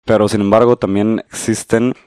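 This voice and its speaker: A man from Guatemala in his thirties, with a Guatemalan Spanish accent, calmly and modestly explaining his country as a podcast guest. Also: on the low side, clear, resonant tone, no echo, studio quality. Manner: calm, measured, modest explainer. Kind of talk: casual explanatory interview talk.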